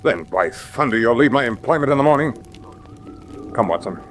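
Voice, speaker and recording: An actor playing a forthright, hot-tempered gentleman landowner in a radio drama, emphatic and blustering. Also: mid-range voice, expressive, normal speed, faint music behind, lightly acted. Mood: indignant.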